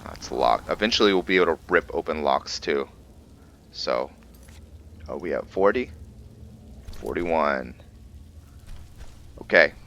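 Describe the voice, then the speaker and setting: A man with a very deep voice talks through his roleplay game in a casual way. He takes his time, pausing and restarting as he thinks out loud. There is light noise in the recording. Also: lively intonation, creaky. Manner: halting, thinking aloud. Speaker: gamer hosting a roleplay let's play. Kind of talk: casual gameplay commentary.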